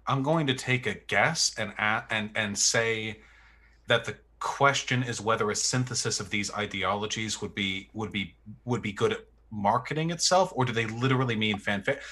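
A man's voice: lowish voice, normal speed, pushed and bright. A man in a casual discussion who talks loosely, jokes around, swears and restarts his sentences.

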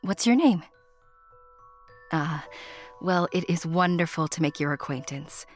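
Female storyteller, lower register, theatrical and intimate, playful direct address, soft music underneath. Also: lively intonation, unhurried. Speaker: storyteller, narrator. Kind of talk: scripted storybook narration addressed to the listener.

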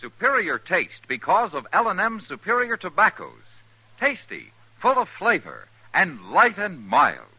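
High, very animated male ad announcer. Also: unhurried, breathy edge, dry recording, low-quality recording. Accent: American. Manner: emphatic sales pitch. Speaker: commercial announcer. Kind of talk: 1950s radio cigarette advertising.

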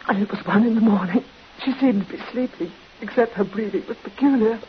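A woman's voice: mid-range voice, brisk, crowd noise, natural voice. A woman playing an anxious, proper nurse in vintage radio drama, formal and earnest. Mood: grieving, shaken. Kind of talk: 1950s radio drama acting. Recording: dull old radio recording with hiss.

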